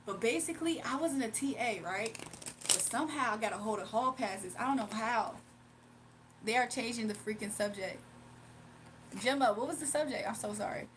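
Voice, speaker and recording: A woman's voice: on the high side, normal speed, background noise. A young woman streaming live, chatting casually with her viewers, laughing often and reading out chat messages.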